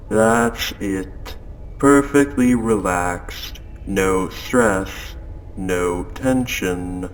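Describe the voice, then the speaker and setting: A man voicing a calm, possessive spaceship AI in an audio roleplay, speaking in a measured, formal, softly coaxing way. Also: fairly low pitch, creaky, a little hiss or hum, full character voice, poor audio. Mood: soothing, coaxing. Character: calm, possessive spaceship AI turning quietly obsessive. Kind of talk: scripted audio roleplay monologue. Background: ambient sound effects.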